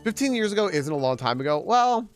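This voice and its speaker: A man chatting casually on a live stream while playing a game. He rambles with many restarts and jumps up in pitch whenever he greets viewers. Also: big pitch swings, fast talker, faint music behind.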